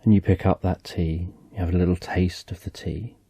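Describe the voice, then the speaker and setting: A man narrating a slow, soothing guided relaxation story in the second person, speaking softly and gently. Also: low voice, steady tone, husky, no echo.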